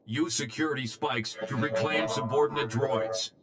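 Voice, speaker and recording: An actor voicing an emotionless warden security droid in a video game, speaking in terse, formal monotone phrases. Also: male voice, medium pitch, normal speed, faint music behind, lightly acted. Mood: flat, official.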